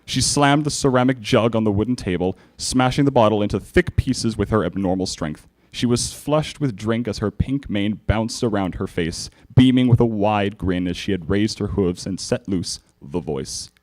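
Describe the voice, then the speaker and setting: A man's voice: on the low side, normal speed, few pauses, a little mellow, low-quality recording. A man hosting a live comedic reading of fanfiction, amused and stumbling over the text at a convention panel. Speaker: fanfiction reader, panel host.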